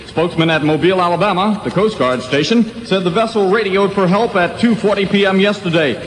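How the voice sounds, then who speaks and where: A man's voice: high-pitched, fairly quick, slight background noise. A man reading a formal scripted news bulletin as a radio anchor on 1960s AM radio. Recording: 1960s AM radio, roomy long reverb.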